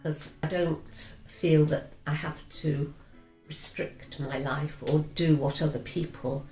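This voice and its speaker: Low-voiced woman in her late seventies. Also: fairly even intonation, slow pace, a little mellow. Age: 70s. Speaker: interviewee. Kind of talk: reflective interview answers. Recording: roomy echo, faint music.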